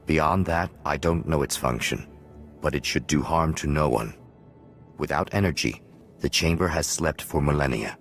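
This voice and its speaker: An actor playing a solemn, penitent hermit watchman in a video game, speaking in a measured, formal, philosophical way. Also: rumbling low, a bit bright, faint music behind. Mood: calm, grave. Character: solemn male hermit Jedi watchman, calm, penitent, cryptic.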